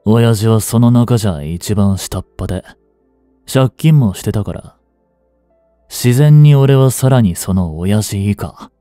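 Brash young male delinquent played by a man for audio drama: dark, mellow tone, swinging intonation, defiant and teasing. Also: lowish voice, animated, unhurried, no room sound, studio quality, faint music behind. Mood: bitter, proud. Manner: brash, slangy tough-guy talk, drawling. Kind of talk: Japanese audio drama acting, one-sided dialogue to the listener.

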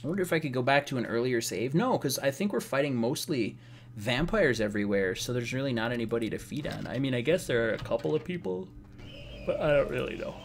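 A slightly hoarse man giving casual, rambling gameplay commentary as a let's play host, sounding wry and exasperated. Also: medium pitch, lively intonation, fast talker. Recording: game music behind the voice. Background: video game music.

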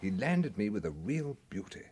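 An actor with a British accent playing an affable, wheedling secret service official in a radio drama, genteel and falsely casual. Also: male voice, lowish voice, sing-song, moderate pace, mellow, breathy edge. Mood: coy, insinuating. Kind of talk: radio drama acting, dialogue.

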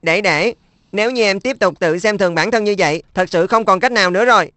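A woman's voice: medium pitch, quick pace, fairly bright tone, dry recording, close to an everyday voice. A woman voicing a kind, encouraging schoolboy. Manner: reassuring, coaxing. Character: encouraging older schoolboy brother, patient and kind. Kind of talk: Vietnamese cartoon dub.

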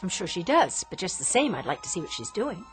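An actress playing an inventor's restless adult daughter in a radio drama, questioning insistently. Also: female voice, lowish voice, very animated, brisk, rough recording. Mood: uneasy. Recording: music under the dialogue.

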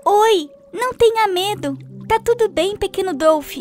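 A gentle little shark in a children's cartoon, voiced by a woman, friendly and eager to help. The voice is very high and reassuring, with faint music behind it. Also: a little slow. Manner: soft, reassuring. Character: kind young male baby shark, gentle.

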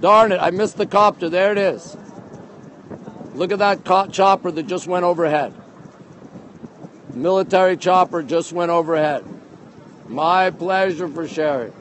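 A middle-aged man hosting a casual walking live stream, chatty and excitable, often repeating himself as he reacts to viewers. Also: high-pitched. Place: outdoors on busy city streets, walking. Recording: outdoor street and traffic noise.

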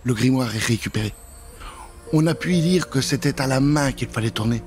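A man's voice: mid-range voice, a little fast, darkish tone, faint music behind. A man in his thirties telling a children's Halloween story in a dramatic, suspenseful way at a studio microphone. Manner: theatrical, suspenseful. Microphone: studio microphone with pop filter.

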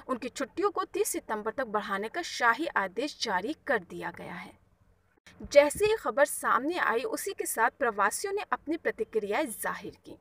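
High-voiced woman reading news, slightly distant. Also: a bit bright. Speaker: news presenter. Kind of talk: scripted news narration.

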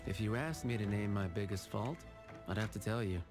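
An actor playing a roguish, dishonest fighter pilot and gambler, narrating in a low, wry, confessional voice over loud music. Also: male voice, fairly quick, lightly acted. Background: loud orchestral music.

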